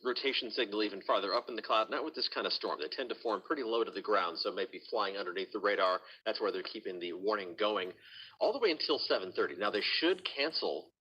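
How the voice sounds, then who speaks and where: A man's voice: fairly low pitch, animated, fast talker, pushed and bright, breathy edge, lo-fi sound. A man working as a TV meteorologist, giving live severe weather commentary in a conversational, explanatory way.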